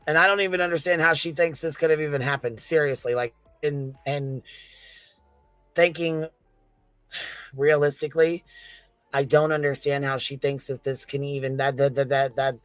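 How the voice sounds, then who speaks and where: A man's voice: a little high, steady tone, normal speed, a bit bright, dry recording, soft background music. Blunt, sweary man in a dry-sounding chat. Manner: blunt, heavily profane, exasperated. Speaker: live stream host. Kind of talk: casual true-crime reaction chat.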